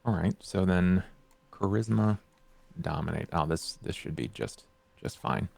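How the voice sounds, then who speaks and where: Low, gravelly male game player. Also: a little slow, a little mellow. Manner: hesitant, tentative. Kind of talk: casual game talk.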